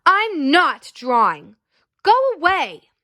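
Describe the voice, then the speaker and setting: A woman's voice: sits high, sing-song, slow, bright, forceful tone, voice pushed up, exaggerated voice. A woman voicing a grumpy, sullen young boy in a storybook, with a snappish manner. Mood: annoyed, snappish. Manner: sneering, snappish. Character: grumpy young boy artist, sullen big brother to Marisol.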